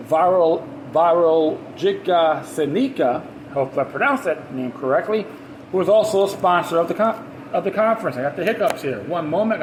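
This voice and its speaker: A man hosting a podcast, reading news articles aloud with sarcastic asides and stumbling over words. He has a high-pitched voice. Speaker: podcast host. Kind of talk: ranting commentary while reading news articles. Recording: echoey room with background noise.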